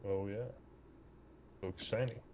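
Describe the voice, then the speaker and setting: A man's voice: lowish voice, steady tone, takes it slowly, darkish tone, slight background noise. A man taking part as a player in an online tabletop game, talking casually. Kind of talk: casual chat. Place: online voice call.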